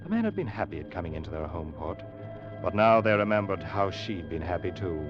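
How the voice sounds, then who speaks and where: An actor playing a young ship's officer who narrates his tale in a measured, grave way, in 1940s radio drama style. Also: low voice, big pitch swings. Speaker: narrator and first mate Ned. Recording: dull old radio recording with music.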